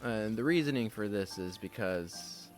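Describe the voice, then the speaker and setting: A man hosting a casual game play-through, talking in a loose, rambling way with a slightly raspy voice. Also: on the low side, expressive, normal speed, faint music behind. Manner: loose and rambling, with many fillers. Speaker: host.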